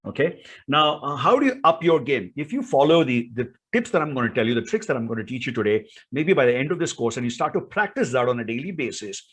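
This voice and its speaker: A man's voice: medium pitch, big pitch swings, fast, a bit bright, slightly rough. A man with an Indian accent giving an instructional talk as a speaking coach, in an emphatic, theatrical way full of rhetorical questions.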